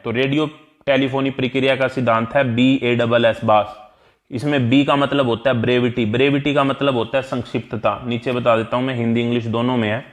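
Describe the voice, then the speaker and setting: A man with an Indian accent giving an explanatory exam-prep lesson as an instructor, repeating key terms in a didactic way. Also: mid-range voice. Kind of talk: explanatory exam-prep tutorial, mixing Hindi and English.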